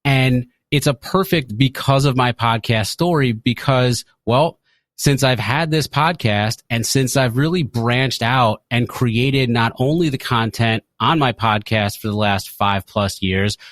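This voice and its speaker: A man of average pitch shares a personal story in an earnest way. He explains things in long, linked sentences like a teacher, and the sound is very dry with no room on it. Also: unhurried, fairly bright tone, no echo. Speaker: educator and podcaster. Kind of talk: personal story sent as a voice message.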